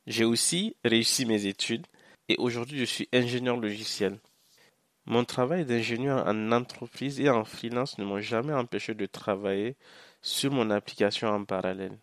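A young man from Niger, a Hausa speaker, giving a measured, earnest first-person account on a podcast with occasional hesitant fillers. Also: on the low side, no echo, studio quality. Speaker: podcast guest, social entrepreneur. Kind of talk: first-person podcast testimony, partly read.

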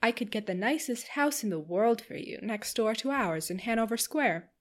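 A woman's voice: fairly high pitch, lively intonation, normal speed, natural voice. A woman doing a gushing, chattering young wife.

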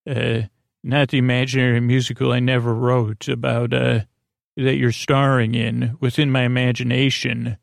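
A man hosting a sleep podcast, rambling in a whimsical, hesitant bedtime monologue with stammered false starts and a creaky, gravelly voice. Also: medium pitch, level tone, normal speed, dry recording, studio quality.

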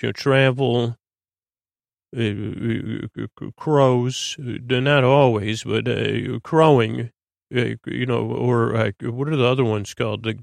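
Gravelly, rambling man, bedtime podcast host. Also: mid-range voice, dry recording, clean studio sound. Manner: meandering, halting, with stammers and trailing tangents; whimsical, gently silly. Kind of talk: rambling bedtime monologue to help listeners sleep.

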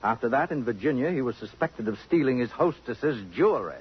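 A man's voice: mid-range voice, lively intonation, brisk, husky. An actor playing a suave, possessive, sardonic society man in a 1950s radio drama, with arch and precise pompous diction. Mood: insistent, cutting. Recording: muffled vintage radio broadcast.

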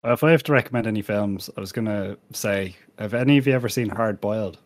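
A man's voice: fairly low pitch, fast talker, no echo, studio quality. A man hosting a casual panel podcast, rambling a little and swearing freely. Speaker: podcast host.

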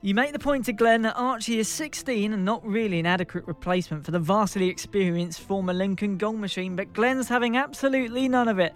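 A man from England, a bit hoarse, narrating a comic football role-playing game as game master, with mock-dramatic delivery that leans into punchlines. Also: high-pitched, brisk, few pauses, faint music behind. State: slightly hoarse. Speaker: podcast co-host and game master.

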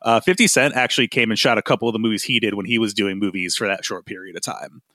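A man's voice: fairly low pitch, quick pace, pushed and bright, no room sound, studio quality. A man from Michigan co-hosting a film podcast, chatting enthusiastically and leaning on "like" and restarts. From West Michigan. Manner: rambling, enthusiastic, heavy on "like". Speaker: podcast co-host. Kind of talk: casual film discussion.

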